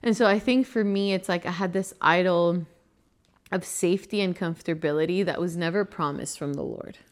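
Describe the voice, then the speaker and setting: A young woman from Venezuela co-hosting a casual faith podcast, speaking earnestly and warmly about her own life. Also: lowish voice, moderate pace. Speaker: podcast co-host.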